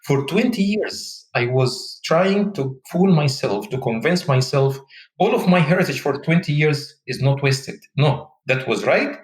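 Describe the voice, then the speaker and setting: A man's voice: on the high side, lively intonation, unhurried, echoey room, poor audio. A man in his forties from Egypt, speaking English with an Egyptian Arabic accent as his second language, telling his story earnestly and reflectively in an interview. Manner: earnest, reflective, with frequent restarts and non-native grammar. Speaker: guest, tour company owner and Egyptologist.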